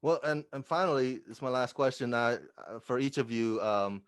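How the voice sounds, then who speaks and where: A man hosting a film interview, putting prepared questions to his guests in a deliberate, courteous way. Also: medium pitch, smooth, dry recording, studio quality.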